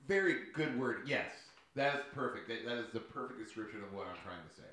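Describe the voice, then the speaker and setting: A man's voice: medium pitch, lively intonation, fast, sharp tone, reverberant. A man co-hosting a podcast in casual chat. He is laid-back, swears a lot and laughs often. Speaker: podcast co-host.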